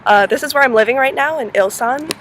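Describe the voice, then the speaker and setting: A woman's voice: sits high, quick pace, fairly bright tone, slight background noise. A sixteen-year-old girl from North Carolina chatting casually as an exchange student vlogger, easygoing and giggly with frequent fillers. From North Carolina, USA. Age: teens. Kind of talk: casual chat.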